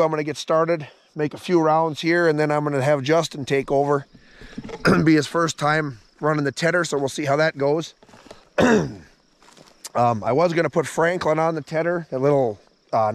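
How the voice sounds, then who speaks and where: A man in his thirties with a hoarse voice from a cold, talking plainly to a handheld camera in an open hay field and clearing his throat often. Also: a little high. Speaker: farmer. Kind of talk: casual vlog narration. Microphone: handheld camera at arm's length.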